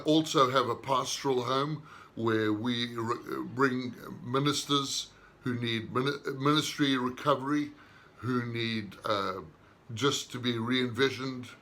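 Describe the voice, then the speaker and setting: A man, a minister giving an unhurried personal testimony in short, reflective phrases. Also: mid-range voice, bright, forceful tone. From southern Africa.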